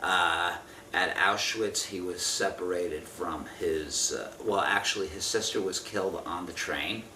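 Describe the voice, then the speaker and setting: Man, 50s, interviewee. Low, bright voice, careful halting recall, distant mic. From Israel. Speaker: interviewee giving family testimony. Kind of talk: oral history interview, recounting from memory. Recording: roomy, distant mic with faint noise.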